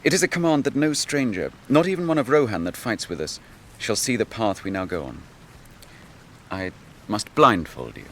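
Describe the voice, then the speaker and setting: A solemn young captain from a fantasy radio play. His low voice swings widely as he speaks in formal, measured, old-world phrases. The recording has clear noise and rumble behind him. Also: very animated. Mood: solemn, resolute. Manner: measured, formal, archaic speech. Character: grave, noble young captain of Gondor, grieving his brother. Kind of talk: fantasy radio drama acting.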